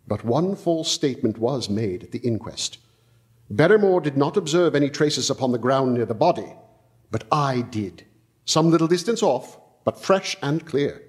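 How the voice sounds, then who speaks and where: An actor playing an earnest young country doctor who reads an old legend aloud with solemn, dramatic storytelling in a live radio play. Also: male voice, fairly high pitch, close to an everyday voice. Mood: grave. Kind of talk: live stage radio-play acting, reading a tale aloud. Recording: live stage recording with music underscore.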